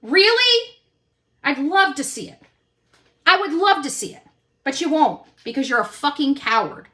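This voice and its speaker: A woman's voice: sits high, animated, moderate pace, pushed and bright. A woman in her fifties talking combatively and dismissively in a livestream rant, swearing with heavy emphasis. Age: 50s.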